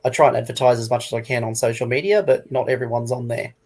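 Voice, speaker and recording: A man talks casually as a retail guest on a livestream. His delivery is rapid, with a creaky, gravelly edge to a medium voice. He keeps it conversational throughout. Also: mid-range voice, steady tone, a little mellow, slight background noise. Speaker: guest, online shop retailer.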